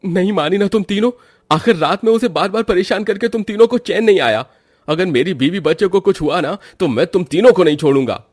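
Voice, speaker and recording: A man's voice: sits high, fairly quick, a little mellow, pitched above the performer's own voice. Man acting an indignant young husband, raised voice. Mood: angry, reproachful. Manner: heated, reproachful, emphatic. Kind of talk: acted Hindi cartoon moral story.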